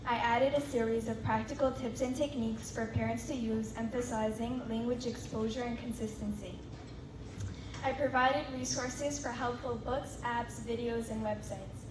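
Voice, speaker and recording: A fifteen-year-old girl, a student, reading a prepared presentation in a steady, measured way in a school hall. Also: fairly high pitch. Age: teens. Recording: echoey room from far off, noisy.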